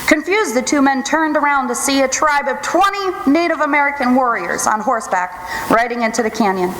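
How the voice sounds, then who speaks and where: In a reverberant hall with clear background noise, a woman with a high voice hosts a live show. She delivers scripted jokes and introductions as a stage announcer. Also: animated, brisk, a bit bright. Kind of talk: scripted joke-telling and announcing. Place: theatre stage before an audience.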